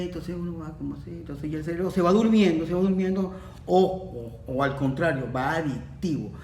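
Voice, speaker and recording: A man's voice: on the high side, darkish tone. A man explaining his points in a podcast discussion, talking conversationally and repeating phrases for emphasis. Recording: echoey room, off-mic, faint noise.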